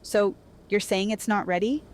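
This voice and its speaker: A woman's voice: medium pitch, moderate pace, slight background noise, natural voice. An actress playing a jaded, cutting woman who bargains hard, speaking in a blunt, confrontational way in an audio drama. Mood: demanding, unimpressed.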